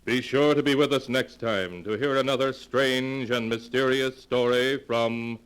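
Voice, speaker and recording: A man's voice: medium pitch, fairly even intonation, unhurried, fairly bright tone, a little hiss or hum. A man announcing a scripted radio mystery show in a formal, dramatic 1940s style.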